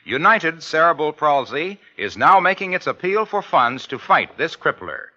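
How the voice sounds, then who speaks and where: A man's voice: a little high, very animated, moderate pace, pushed and bright. A man reading scripted copy as a commercial and credits announcer in 1950s radio style. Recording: muffled old radio recording, music behind.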